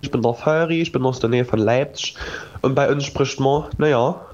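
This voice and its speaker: A man's voice: mid-range voice, moderate pace, a little mellow. Man with a Saxony-Anhalt accent on a dull voice memo. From Saxony-Anhalt, Germany. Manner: casual and friendly, with tag questions. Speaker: listener sending a voice message. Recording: dull voice message.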